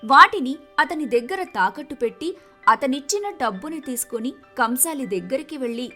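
Female narrator for a folk tale: high, bright voice, expressive scripted delivery. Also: normal speed, faint music behind. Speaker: story narrator.

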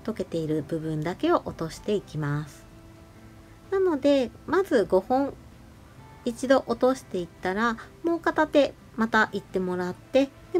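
A woman nail artist narrating a tutorial voice-over, explaining each step politely and giving gentle advice. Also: on the high side. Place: voice-over over close-up salon footage. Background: music.

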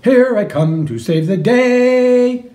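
A man's voice: very high-pitched, expressive, slow, dark tone, roomy sound, pitched above the performer's own voice, heavily acted. A man voicing a heroic, courteous cartoon mouse superhero in a grand, declamatory, formally polite way. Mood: triumphant.

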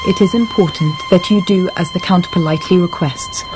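A woman's voice: on the low side, a little fast, natural voice. An actress playing a composed, devoted servant woman in a radio drama. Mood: politely firm. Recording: music behind.

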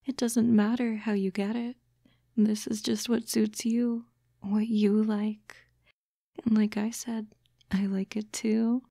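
A woman voicing a doting, teasing fox-girl girlfriend in a soft, breathy, coaxing ASMR roleplay, close to the microphone. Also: medium pitch, level tone, no room sound. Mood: soothing. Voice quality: soft, breathy, often whispering. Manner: slow, coaxing, cooing. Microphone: close, dry microphone.

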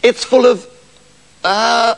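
A man's voice: extremely high pitch, fairly even intonation, slow pace, vocal fry, exaggerated voice, low-quality recording. A man voicing a rude, cocky elder farm son in a comic fairy tale, sneering and boastful.

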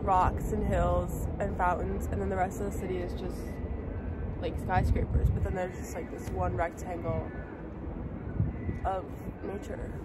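A woman's voice: fairly low pitch, flat delivery, unhurried. A young adult woman vlogging casually outdoors on a handheld phone, rambling and often saying "like". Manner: rambling, filler-heavy with frequent "like". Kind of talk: casual vlog chat. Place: outdoors in city streets and parks. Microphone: phone or camera at arm's length. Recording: very noisy handheld vlog audio.

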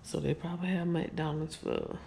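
Woman, 30s, gravelly, chatty and weary, close handheld camera, living room. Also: low voice, fairly even intonation, moderate pace, warm tone, a little hiss or hum. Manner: chatty, tired, addresses viewers as y'all. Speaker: vlogger. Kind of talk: casual chat to viewers. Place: living room, on couch, indoors. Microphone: handheld camera at close range.

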